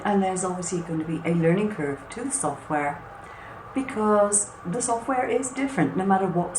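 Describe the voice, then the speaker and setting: A hoarse, husky woman with a low voice gives a reassuring, step-by-step tutorial. She talks in an easy, chatty way. The room rings with echo and has a faint background hiss. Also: darkish tone. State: hoarse and husky after a cough. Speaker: craft channel host. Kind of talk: hands-on product walkthrough.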